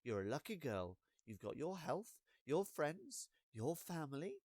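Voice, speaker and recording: A man voicing a dismissive mother who lectures her daughter briskly in a children's storybook. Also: a little high, sing-song, a little mellow, dry recording, voice pushed up.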